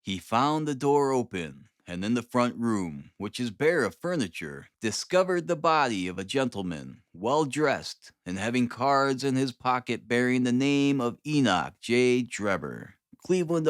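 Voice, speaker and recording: A man narrating a classic novel as an audiobook, expressive, with deliberate, dramatic phrasing. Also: mid-range voice, smooth, dry recording, clean studio sound.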